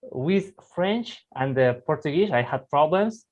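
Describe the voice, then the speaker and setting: A man's voice: fairly high pitch, expressive, lo-fi sound. Hesitant host, man. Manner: hesitant, halting, many fillers and restarts. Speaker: interviewer, channel host. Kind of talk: casual conversation.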